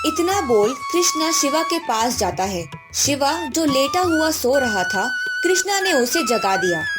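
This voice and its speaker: A woman's voice: high-pitched, sing-song, unhurried. A woman narrator reading a scripted moral tale for a cartoon. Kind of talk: scripted children's story narration. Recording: music bed behind the voice. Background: background music.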